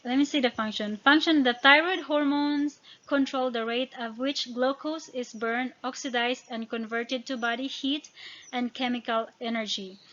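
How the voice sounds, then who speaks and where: A woman with a Filipino accent and Tagalog and Visayan as first languages, narrating an informal lesson from notes and halting over technical words. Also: high-pitched, fairly bright tone, dull audio. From Philippines. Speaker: educator.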